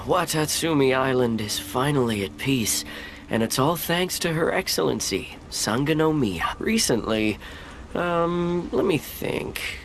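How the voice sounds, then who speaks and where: A weary island fisherman in a video game, at a medium pitch. Delivery is humble, plainspoken and hesitant, with faint ambient noise. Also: animated, slow. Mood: relieved, pensive. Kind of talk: game character dialogue.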